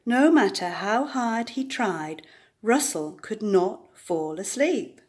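An older woman reading a storybook aloud to young children, deliberate and expressive, with dramatic emphasis. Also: mid-range voice.

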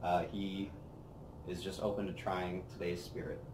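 A young man hosting a whiskey review, chatting casually on an outdoor patio, relaxed and rambling with plenty of fillers. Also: low-pitched, unhurried, background noise. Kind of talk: casual tasting chat. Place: outdoor patio by a brick wall. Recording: roomy outdoor sound with faint ambience.

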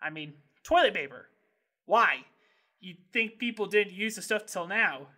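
Woman voicing a hyperactive, scatterbrained boy, lively and bright. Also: mid-range voice, a little slow. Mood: sheepish, scatterbrained. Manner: scatterbrained, rambling, blurting. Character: hyperactive, impulsive young boy, cheerfully clueless troublemaker. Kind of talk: animated comedy narration and dialogue.

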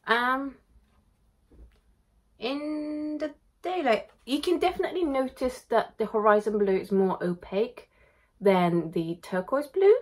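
A woman's voice: a little high, animated, a little slow, darkish tone. Chatty woman in her thirties, home studio. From Japan. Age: 30s. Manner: chatty and candid, reads questions aloud then answers. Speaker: watercolor YouTuber answering viewer questions. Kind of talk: casual Q&A to camera. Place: home art studio with shelves, indoors. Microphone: camera in front at desk distance.

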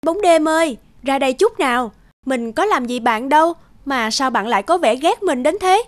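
A woman voicing a friendly, innocent young spirit of light in an animated fairy tale, gentle and earnest. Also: high voice, normal speed, slight background noise. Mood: pleading.

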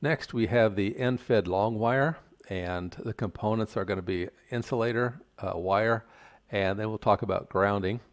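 An older man giving a measured, plain-spoken, step-by-step tutorial explanation. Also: fairly low pitch. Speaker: instructor. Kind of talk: explanatory tutorial narration over slides.

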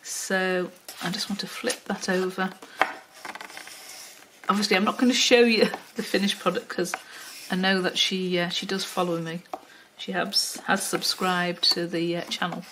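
A woman's voice: fairly low pitch, steady tone, measured pace, background noise. Chatty older woman with a Wirral accent. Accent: Wirral, mix of Welsh and Liverpool. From Wales. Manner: chatty, many ums, talks to herself while working. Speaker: craft tutorial host. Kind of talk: casual craft tutorial. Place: home craft table, indoors. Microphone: overhead camera, hands only.

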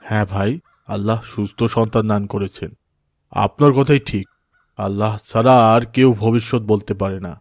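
A man plays a cartoon father who is easily swayed and dejected. His voice sits fairly low and comes across earnest and hesitant. The sound is dry, with little room around it. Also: normal speed, no echo, lightly acted. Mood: downcast. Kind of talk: cartoon voice acting in moral story.

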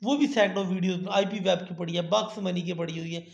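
Male host, tutorial voice-over, high and gravelly, echoey lo-fi sound. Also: fairly even intonation, quick pace. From Pakistan. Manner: repeats "theek hai" often, turns persuasive and emphatic toward the end. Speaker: channel host. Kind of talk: screen-recorded tutorial talk.

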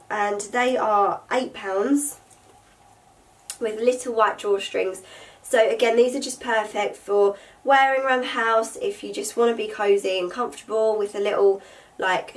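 A woman's voice: medium pitch, distant mic. A young woman in her twenties, sounding nasal from a cold, chatting casually to camera in her bedroom about things she bought, with lots of fillers. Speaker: vlogger. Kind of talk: casual haul chat to camera. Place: bedroom with vanity table, indoors.

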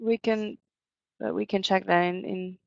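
A woman's voice: mid-range voice, fairly even intonation, unhurried, creaky, a little mellow, no echo. A woman in her thirties, a university staff member, answering questions on a live webinar through a headset, hesitant with frequent fillers. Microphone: headset on a video call. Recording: dry, dull lo-fi call audio.

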